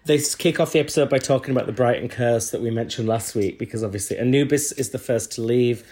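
A man co-hosting a podcast, talking in crude, joking banter with a hoarse voice that is still recovering. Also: mid-range voice, quick pace, darkish tone. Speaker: podcast co-host. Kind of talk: casual comedic chat.

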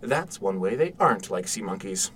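A man's voice: on the low side, very animated, moderate pace, fairly bright tone. Distant, noisy recording of a man acting an eager dad. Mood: enthusiastic. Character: eager, boyish, gentle father of a young son. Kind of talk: naturalistic audio drama acting. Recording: distant mic, noisy room sound.

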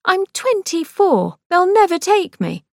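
A prim, determined young lady, quick to take offence and earnest about her goals, as a woman reader plays her. Her voice rides high and bright above the narration, recorded dry and close. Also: very animated, gravelly, no room sound, heavily acted. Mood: indignant. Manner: indignant, earnest.

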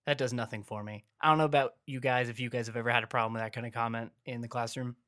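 A young man co-hosts a podcast, and he rants in a sarcastic, exasperated way. His voice sits at a middle pitch and is recorded close and dry, with no room sound. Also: steady tone, rapid-fire, fairly bright tone, smooth, no echo. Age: young adult. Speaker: podcast co-host. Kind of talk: casual podcast rant.

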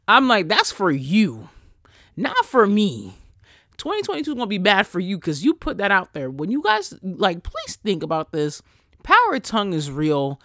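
A man hosting a casual solo podcast, ranting in an animated, profane way that swings between loud bursts and quiet asides. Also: high-pitched, no echo, studio quality. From Haitian family. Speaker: podcast host.

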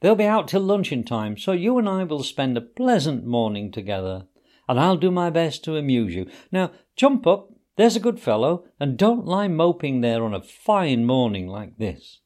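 A man voicing a brisk, hearty male water rat who talks bluntly to his friend. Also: a little high, sing-song, a little mellow, pitched above the performer's own voice. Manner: hearty, bluff.

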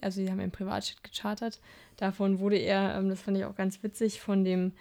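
A woman's voice: mid-range voice, level tone, brisk, vocal fry, dark tone. A young woman co-hosting a political podcast in casual chat, hesitant, with frequent filler sounds and restarts. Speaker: podcast co-host.

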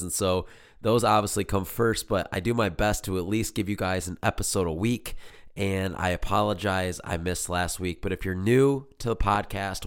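Male podcast host, very low voice, hoarse from illness, chatty and earnest. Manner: rambling, filler-heavy, earnest. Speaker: podcast host and business coach. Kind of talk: casual solo podcast talk.

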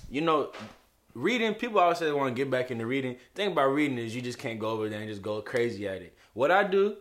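A man co-hosting a casual podcast chat, animated and emphatic, often repeating himself, with a slightly hoarse voice. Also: medium pitch, fast. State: hoarse from arguing. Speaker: podcast co-host.

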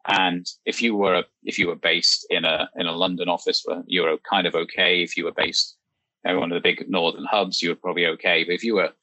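Deep husky male podcast host. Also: sharp tone, no room sound, poor audio. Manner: thoughtful, asks open questions. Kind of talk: casual conversational discussion.

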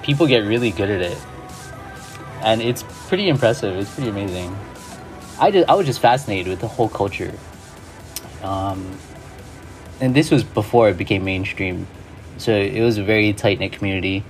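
A man's voice: lowish voice, normal speed, long pauses, faint music behind, low-quality recording. A man, a guest in an interview, reflecting in a loose way full of "like" and "you know". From Hawaii.

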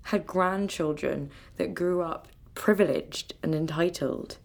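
Grieving granddaughter in a radio play, slow and lowish, earnest, holding on to belief. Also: female voice, gravelly, exaggerated voice. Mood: firm, reflective. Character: grieving adult granddaughter, tender, firm, clinging to belief. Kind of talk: naturalistic radio drama dialogue.

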